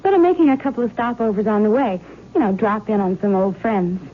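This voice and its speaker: A woman's voice: mid-range voice, fairly quick, lightly acted. An actress playing a carefree, affectionate young college woman in old-time radio drama style, casual and earnest. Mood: cheerful, awkward. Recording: muffled, slightly noisy radio recording.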